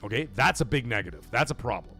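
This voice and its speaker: A man's voice: medium pitch, animated, fast, bright, forceful tone, soft background music. A man hosting a gaming livestream, talking casually and emphatically to his chat, often checking in with his listeners as he argues his point. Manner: emphatic, persuasive, keeps checking in with "okay?" and "right?".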